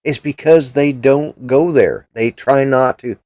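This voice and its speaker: A man's voice: medium pitch, a little slow, a little mellow, no echo. An older man hosting a casual political chat show, talking in a blunt, rambling, opinionated way. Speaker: podcast host.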